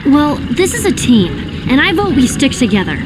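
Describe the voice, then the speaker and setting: A woman's voice: high voice, sing-song, normal speed, in a large hall, noisy background. An actress playing a clever, level-headed teenage girl with an earnest, explanatory delivery in a cartoon video game. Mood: concerned.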